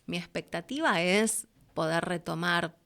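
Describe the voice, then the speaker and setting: A woman's voice: on the low side, measured pace, fairly bright tone. A woman with an Argentine accent, talking candidly and casually as an interview guest, thinking aloud as she goes. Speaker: interview guest, voice actress and producer.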